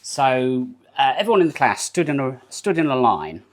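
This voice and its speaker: Man, British accent, casual streamer talk, lively pitch swings, rambling, laughs a lot, kitchen stream. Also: moderate pace. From United Kingdom. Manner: rambling, chatty, laughs often, answers chat. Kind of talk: casual live-stream chat. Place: home kitchen while baking.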